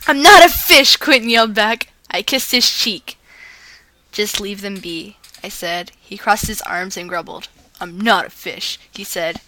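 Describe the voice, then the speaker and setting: Bright-voiced woman reading stories playfully. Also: mid-range voice, unhurried, low-quality recording. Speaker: fan fiction reader and video host. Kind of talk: dramatized reading aloud of fan fiction.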